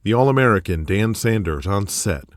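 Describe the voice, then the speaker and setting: A man's voice: fairly low pitch, animated, moderate pace. A man reading scripted, dramatic present-tense narration as the storyteller of an audio drama. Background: music.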